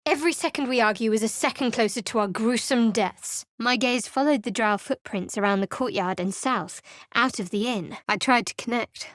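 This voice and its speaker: A woman's voice: fairly high pitch, dry recording. A woman voicing a guarded, sardonic young cleric adventurer in a game, with a dry, cutting delivery. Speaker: video game character. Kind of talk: game voice lines.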